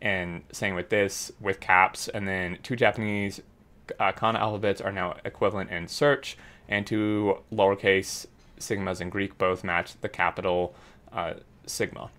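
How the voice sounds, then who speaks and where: Male YouTuber, low-ish voice, casual filler-heavy commentary on a dev blog. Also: steady tone, measured pace, fairly bright tone. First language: English. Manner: chatty, filler-heavy, upbeat. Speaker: gaming YouTuber.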